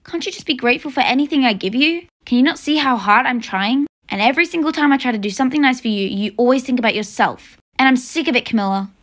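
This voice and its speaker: A woman's voice: mid-range voice, a little fast, lightly acted. A woman with an Australian accent, playing an exasperated mother of a teenage daughter in a homemade family skit, scolding and pleading by turns. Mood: hurt.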